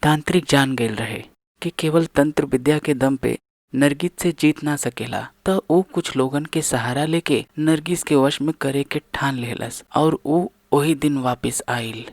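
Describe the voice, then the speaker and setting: A man playing an earnest, protective shape-shifting serpent in an animated fairy tale, serious and measured as a storyteller. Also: medium pitch, no echo. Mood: serious recounting.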